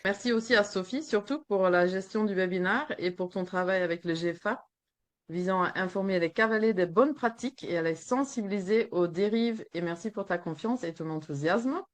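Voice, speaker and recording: A woman's voice: mid-range voice, moderate pace. A woman with a Flemish accent, French not her first language, reading an earnest prepared presentation as a veterinarian in a webinar over a video call. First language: Flemish Dutch. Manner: earnest, reads steadily from a text.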